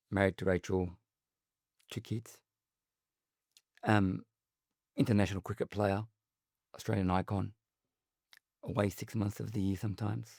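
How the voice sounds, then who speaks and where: A male podcast host asks questions in a measured, thoughtful way. His voice is low and gravelly, full of vocal fry. The sound is dry and close to the mic. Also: darkish tone, no echo. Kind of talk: interview questions.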